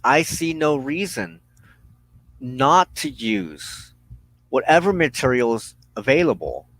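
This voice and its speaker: A man hosting a spiritual broadcast, giving a reflective, unscripted monologue in an earnest, halting way with emphatic phrasing and pauses. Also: fairly high pitch, big pitch swings, creaky, fairly bright tone, no echo, low-quality recording. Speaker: host of a weekly spiritual broadcast.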